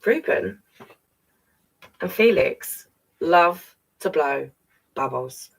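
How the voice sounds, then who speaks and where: Female children's storyteller, playful and chatty, animated intonation with some creak, recorded at a slight distance. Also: lowish voice, very slow. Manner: playful, addresses listeners with questions and tag questions. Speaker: storyteller reading a picture book to young children. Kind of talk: read-aloud story time with chatty asides.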